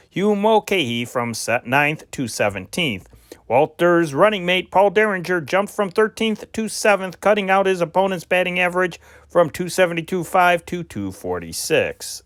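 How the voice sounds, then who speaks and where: Amateur male host reading archive newspaper stories, voice on the higher side, home-made delivery with restarts, dry close mic. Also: animated, moderate pace, a bit bright, no room sound. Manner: amateur, homespun reading with small slips and restarts. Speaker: news reader, channel host.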